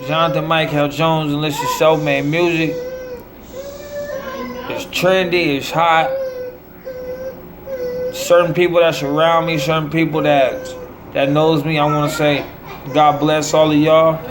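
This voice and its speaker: A man's voice: on the high side, little pitch movement, measured pace, long pauses, noisy background. An American man delivering a rambling monologue in short, declamatory, preacher-like phrases. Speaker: self-promoting musician.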